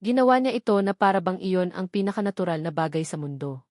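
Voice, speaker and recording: A woman's voice: on the low side, animated, quick pace, darkish tone, no room sound. Woman narrating a story, very dry recording. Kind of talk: scripted dramatic story narration.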